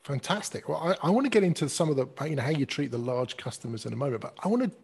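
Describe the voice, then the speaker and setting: A man's voice: fairly high pitch, lively intonation, very fast, vocal fry, a little mellow, slightly rough. A man hosting a podcast, asking questions eagerly with stumbling restarts. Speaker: podcast host. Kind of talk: casual interview questions.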